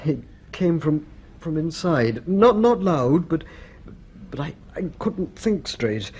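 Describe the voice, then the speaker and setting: An actor playing a dazed, shaken and confused injured man in a radio drama, halting and repeating words. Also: a little high, very animated, a little mellow, husky, exaggerated voice. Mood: dazed, pained.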